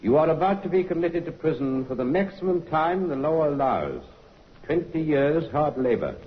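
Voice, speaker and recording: An actor playing a stern, formal judge passing sentence in a 1950s radio drama, speaking in solemn, deliberate pronouncements. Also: male voice, on the high side, animated, lightly acted. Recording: dull vintage radio broadcast with faint hiss.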